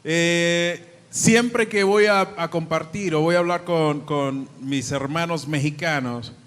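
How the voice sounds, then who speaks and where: A Dominican-accented man preaching in a church, his fairly high voice carried on a long hall reverb. He moves between fervent prayer, scripture reading and easy chat with the congregation. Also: slow pace, fairly bright tone, clean tone. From Dominican Republic. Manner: prays and reads scripture aloud, then talks chattily to the congregation. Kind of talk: sermon.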